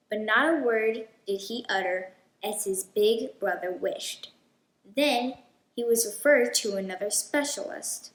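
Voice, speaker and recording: A woman hosting a children's read-aloud, telling a storybook in a deliberate, expressive way. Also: a little high, roomy sound, low-quality recording.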